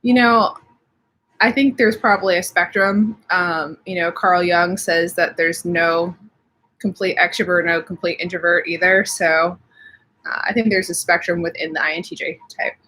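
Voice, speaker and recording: A woman's voice: on the low side, steady tone, moderate pace, bright, forceful tone, low-quality recording. A young woman with slightly sniffly allergies, chatting casually from home on a live stream and reading out viewer comments. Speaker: livestream host.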